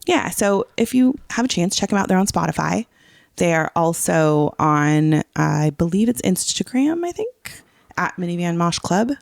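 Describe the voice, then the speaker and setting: A woman's voice: lowish voice, big pitch swings, normal speed, creaky, no echo, clean studio sound. A middle-aged woman co-hosting a podcast in an effusive, informal way, often saying "like" as she chats. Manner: effusive, informal, peppers talk with "like". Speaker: podcast co-host. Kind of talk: casual podcast chat.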